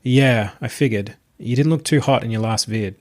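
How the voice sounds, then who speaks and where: A man's voice: mid-range voice, moderate pace, a little mellow, dry recording, clean studio sound, lightly acted. A man voicing an earnest young male student in a narrated story, tentative and casual, rambling a little. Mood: awkward.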